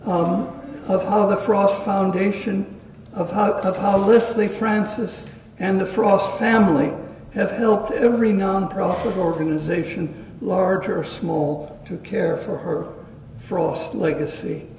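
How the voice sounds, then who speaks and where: An older man reading a prepared introduction for a lecture at a podium, measured and steady. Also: sits high. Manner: measured, reads from notes. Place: community meeting room. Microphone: stand mic at a podium. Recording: roomy hall sound, distant mic, faint hiss.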